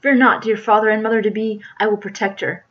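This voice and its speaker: A woman's voice: medium pitch, fairly even intonation, a little fast, lo-fi sound, lightly acted. A woman voicing an earnest young male melodrama hero, florid and self-important, declaiming with grand romance in a storybook reading. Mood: heroic, defiant.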